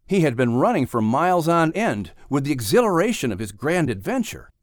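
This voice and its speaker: A man reading a children's story as an audiobook narrator, with expressive, dramatic delivery. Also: fairly high pitch, fairly quick, no echo, studio quality.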